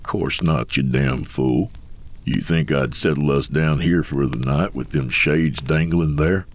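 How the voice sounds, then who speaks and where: A man voicing an ancient trickster coyote spirit as a gruff cowpoke, with a gravelly, folksy American drawl, blustering and mocking. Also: a bass voice, a little slow, voice pushed down, heavily acted. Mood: blustering, impatient.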